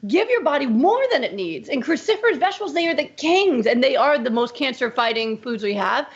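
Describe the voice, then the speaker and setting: A woman doctor being interviewed, talking in an emphatic, animated way. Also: high voice, fairly quick, dull audio. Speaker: medical doctor, guest. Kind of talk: conversational interview.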